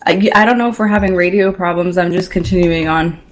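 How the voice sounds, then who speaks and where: A woman's voice: on the low side, fast, a little hiss or hum. A woman in her forties hosting a casual, unscripted talk show discussion. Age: 40s.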